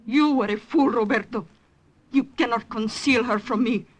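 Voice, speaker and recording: A woman's voice: a little high, normal speed, natural voice. A woman playing a jealous, threatening lover in an old-time radio drama. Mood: jealous anger.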